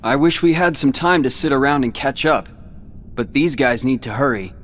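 A man acting a brooding, loyal teenage boy warrior in a video game cutscene, speaking in a measured, earnest way. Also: mid-range voice, a bit bright, a little hiss or hum, lightly acted. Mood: calm, sincere. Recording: game music underneath.

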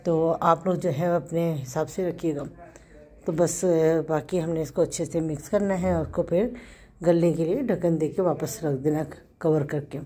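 A woman with a Pakistani Urdu accent and a hoarse, sore throat, chatting through a home recipe step by step and correcting herself as she goes. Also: on the low side, warm tone. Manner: chatty, explains step by step with small self-corrections. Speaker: home cook host. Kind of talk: casual cooking narration.

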